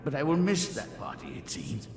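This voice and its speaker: An actor playing a proud, pompous older scientist held captive in a video game, speaking in a formal, theatrical way. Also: male voice, a little high, sing-song, normal speed, darkish tone, faint music behind. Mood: alarmed, yielding. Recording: echoey room.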